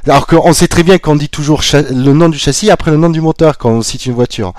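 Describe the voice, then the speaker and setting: A man chatting casually as a podcast co-host. He is relaxed, laughs easily and trails off mid-thought. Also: fairly high pitch, a little fast, no echo, clean studio sound. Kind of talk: casual motorsport chat.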